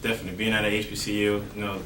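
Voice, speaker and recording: A young man from Miami, a college baseball player, answering interview questions in a relaxed way with lots of "you know". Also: fairly low pitch, racing. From Miami, Florida. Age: young adult. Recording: roomy, distant mic with faint noise.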